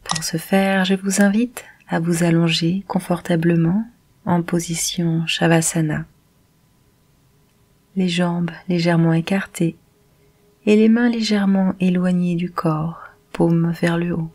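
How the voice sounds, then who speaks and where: A woman guiding a yoga nidra meditation in a calm, measured, soothing manner. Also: on the low side. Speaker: meditation guide.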